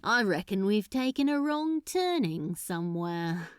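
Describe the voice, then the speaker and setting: A woman's voice: medium pitch, very animated, unhurried, smooth, dry recording, clean studio sound. A woman voicing a tipsy male demon in a storybook reading, casual, musing and offhand.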